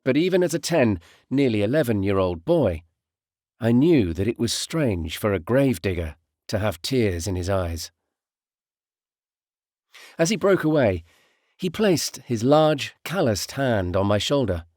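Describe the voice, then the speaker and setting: Male narrator with an expressive, lively delivery. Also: medium pitch, a little slow, no room sound, clean studio sound. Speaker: audiobook narrator, voice artist. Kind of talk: literary first-person audiobook narration.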